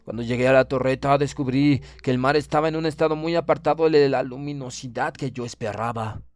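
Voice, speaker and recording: Un hombre que lee un audiolibro de terror como un orgulloso oficial naval prusiano condenado, con tono grave y pausado. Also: male voice, mid-range voice, darkish tone, close to an everyday voice. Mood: uneasy wonder. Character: proud, doomed Prussian naval officer writing his last account. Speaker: audiobook reader. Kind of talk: dramatic horror audiobook narration.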